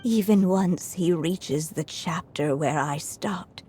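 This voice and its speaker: A woman voicing a gentle, wise mother who is a writer, speaking softly and at times half-whispering. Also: lowish voice, soft background music. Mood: tender. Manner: soft, unhurried, often drifting into a whisper. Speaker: storyteller mother. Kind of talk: video game voice acting.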